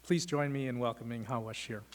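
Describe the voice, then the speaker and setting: A man hosting a law school lecture, giving measured welcoming remarks and thanks, with frequent "uh" fillers. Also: mid-range voice, fairly even intonation, heavy background noise. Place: lecture hall. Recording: long hall reverb.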